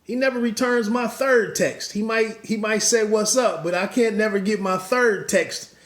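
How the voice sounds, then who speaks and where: A man's voice: high-pitched, moderate pace, a bit bright, reverberant. A man hosting a podcast, giving solo boxing commentary in a rambling way with many restarts and asides.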